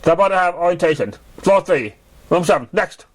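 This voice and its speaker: A man's voice: high voice, lively intonation, fairly quick, pitched above the performer's own voice. A man voicing a tired, curt old female unicorn clerk with a New York accent in a story reading. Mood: brusque.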